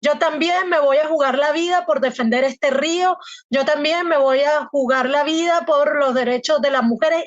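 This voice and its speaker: Woman, from Colombia, emphatic, rhetorical questions, high pitch, dry, muffled lo-fi sound. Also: no room sound. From Colombia, raised in Venezuela. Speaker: guest analyst. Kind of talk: earnest interview answers.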